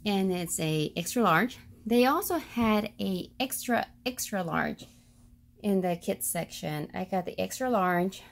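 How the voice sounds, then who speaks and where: Woman, casual haul vlog, slow pace, average pitch, hesitant rambling delivery, recorded at home. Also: animated, vocal fry. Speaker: shopping haul vlogger. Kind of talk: casual narrated show-and-tell. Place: carpeted room at home.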